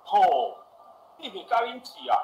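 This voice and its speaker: An actor playing a pushy, boastful young suitor in a Taiwanese radio drama, wheedling with a Taiwanese accent and breaking into drawn-out chanting. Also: male voice, extremely high pitch, echoey room. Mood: insistent. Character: brash, pushy young suitor, stubbornly pestering a girl.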